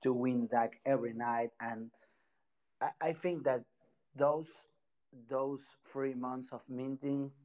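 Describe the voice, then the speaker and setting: A man from Argentina with an Argentine Spanish accent, a project founder guesting on an online audio chat, speaking halting English and searching for words. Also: mid-range voice, steady tone, a little mellow, lo-fi sound.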